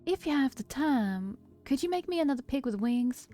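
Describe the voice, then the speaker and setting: A woman's voice: fairly high pitch, lively intonation, moderate pace, mellow, faint music behind, lightly acted. A woman voicing a sweet, hesitant, earnest young girl in a story reading. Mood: sweet, pleased.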